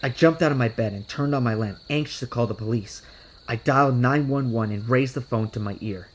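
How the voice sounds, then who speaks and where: A man narrating a first-person horror story in a casual, expressive, conversational way. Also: mid-range voice, a little fast, slight background noise. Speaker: creepypasta narrator. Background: music under the voice.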